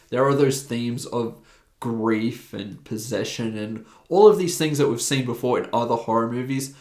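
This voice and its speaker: A man's voice: mid-range voice, reverberant. A man in his twenties with an Australian accent, talking enthusiastically as he reviews a film in a casual monologue. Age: 20s. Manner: enthusiastic, animated talker.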